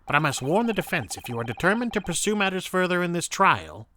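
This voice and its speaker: Man playing a smug, high-voiced menacing prosecutor. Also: very animated, fast talker, sharp tone, a little hiss or hum, full character voice. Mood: stern warning. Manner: formal, condescending, theatrical. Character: menacing, smug older male courtroom prosecutor. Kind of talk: fan-dubbed courtroom game acting.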